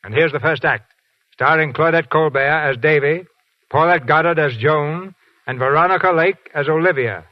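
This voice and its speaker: A man's voice: on the high side, moderate pace, dull audio. A man hosting and narrating a radio theater broadcast in a polished, stately 1940s announcing style.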